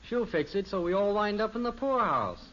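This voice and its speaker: A man's voice: high-pitched, sing-song, normal speed, a bit bright, slight background noise. A man playing a teasing, defensive teenage brother in a 1950s American radio sitcom, with an American accent. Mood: grumbling. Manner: wisecracking, defensive. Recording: dull old radio recording.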